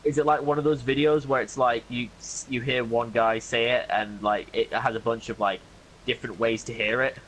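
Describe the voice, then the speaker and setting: A young British man with a middle-pitched voice talking loosely over a game stream. He rambles and hedges as he goes, easygoing and unhurried. A faint hum of room sound sits behind him. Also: poor audio. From Britain. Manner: relaxed, rambling, hedges with "like" and "I mean". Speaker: co-host of gaming channel. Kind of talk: casual gaming chat.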